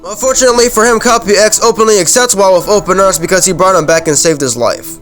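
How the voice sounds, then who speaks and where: A man's voice: high-pitched, fast talker, a bit bright. A man narrating a scripted video game recap in an informal way, as a reviewer. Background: background music.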